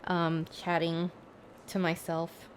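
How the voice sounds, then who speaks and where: A woman's voice: on the low side, completely flat delivery, takes it slowly, a little hiss or hum. A woman livestreamer chatting casually with her viewers, thinking aloud in a hesitant, rambling way. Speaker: live streamer.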